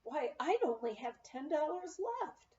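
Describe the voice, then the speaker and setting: A woman narrating a memoir aloud in an expressive, storytelling manner. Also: sits high, measured pace, far from the mic. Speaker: audiobook reader. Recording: muffled, roomy, off-mic amateur recording.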